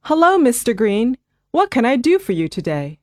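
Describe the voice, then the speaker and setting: A woman voicing a calm, reassuring family doctor in a scripted listening dialogue. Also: a little high, no echo, clean studio sound. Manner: clear, measured, reassuring delivery.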